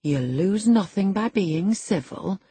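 A blunt servant woman from a storybook, played by a female reader. She reproves firmly and without awe, in a husky, lively voice. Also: medium pitch, slow, no echo, voice pushed up, exaggerated voice. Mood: firm reproof. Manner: reproving, firm. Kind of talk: dramatised audiobook character.

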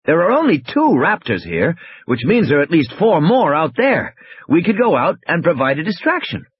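A man's voice: a little high, big pitch swings, normal speed, slightly rough, highs cut off, pitched above the performer's own voice. A man voicing an earnest male technical expert who explains and reasons, in dramatized audiobook dialogue. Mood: earnest, hopeful.

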